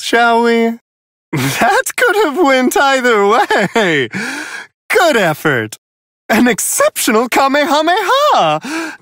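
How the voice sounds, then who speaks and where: A prissy polite angel fight announcer for a video game, airy high male voice, super swoopy, slow and amused. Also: a bit bright, no echo, studio quality, heavily acted. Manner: genteel, theatrical, courteous, wryly amused. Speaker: match commentator.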